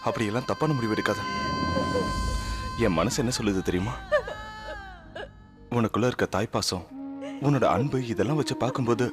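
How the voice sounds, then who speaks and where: An actor playing a gentle husband who soothes and reassures his grieving wife. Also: on the low side, a little fast, pauses often, loud music behind the voice, close to an everyday voice. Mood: soothing.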